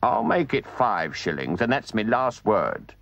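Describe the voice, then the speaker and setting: A man doing a gruff, curt horse trader. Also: big pitch swings, a little slow, a bit bright, no room sound, exaggerated voice. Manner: curt, low and gruff with a rough dialect.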